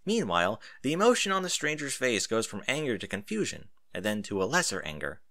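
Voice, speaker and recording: A man narrating a first-person story in an animated audiobook style. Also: medium pitch, brisk, no echo, clean studio sound.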